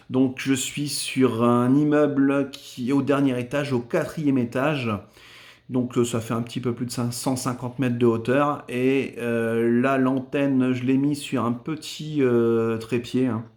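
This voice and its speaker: A man's voice: medium pitch, a little mellow. A man talks casually as a radio amateur, explaining things in a hesitant way. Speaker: amateur radio operator and video maker. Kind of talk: casual ham radio conversation.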